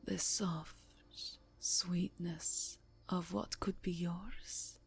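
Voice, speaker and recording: A woman playing a seductive, aristocratic vampire countess in an ASMR roleplay, teasing and formal, with a breathy voice that often drops to a whisper. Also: on the low side, a little mellow, heavily acted. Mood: teasing, amorous. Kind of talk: ASMR roleplay, slow intimate acting. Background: music.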